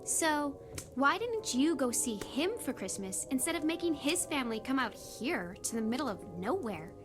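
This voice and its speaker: A woman's voice: high-pitched, big pitch swings, moderate pace, over music. An actress playing a frightened but blunt fourteen-year-old runaway girl in a naturalistic screen drama. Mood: blunt, probing.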